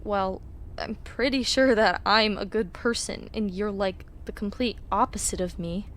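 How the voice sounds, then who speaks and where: A woman's voice: medium pitch, unhurried, slight background noise, natural voice. A young woman playing a chatty, self-assured teenage girl in an audio drama, with a perky, teasing manner. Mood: pointed, self-righteous. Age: young adult.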